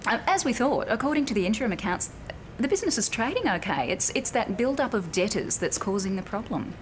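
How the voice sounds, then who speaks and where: A woman playing a composed, firm but sympathetic bank officer in a naturalistic training drama, speaking in a measured, explanatory way. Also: mid-range voice, sing-song, close to an everyday voice. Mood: businesslike, reassuring. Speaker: actor in a corporate training drama. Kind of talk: naturalistic acted workplace dialogue. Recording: clearly noisy location sound with room ambience.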